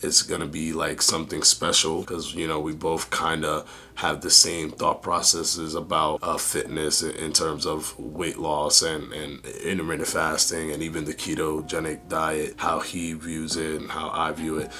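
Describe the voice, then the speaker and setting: Young guy, voice shot and raspy, super deep and flat, rambly fitness vlog update. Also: moderate pace, slight background noise. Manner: rambling with many fillers and restarts. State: hoarse, losing voice. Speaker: fitness YouTuber.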